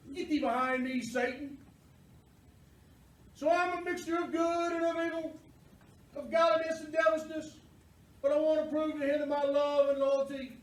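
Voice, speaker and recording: Echoey, distant church drama: male actor as fervent fisherman disciple, very high-pitched, declamatory, self-doubting. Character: impassioned big fisherman apostle, humbled and self-doubting. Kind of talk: church stage monologue. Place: church, live performance.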